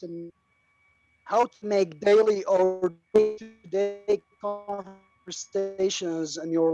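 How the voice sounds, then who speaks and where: Halting Iraqi man on a video call. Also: high voice, darkish tone, no room sound. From Iraq. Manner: halting, many fillers and restarts. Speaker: online class participant. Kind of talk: casual speech to a class. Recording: dull lo-fi video call audio.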